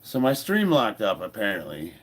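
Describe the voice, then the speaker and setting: A man's voice: lowish voice, wildly swooping pitch, moderate pace, breathy edge. A man in his fifties with a stuffy nose, chatting informally as he hosts a training livestream. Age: 50s. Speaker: powerlifter and livestream host. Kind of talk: casual livestream chat while training. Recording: noisy room, ambient background sound.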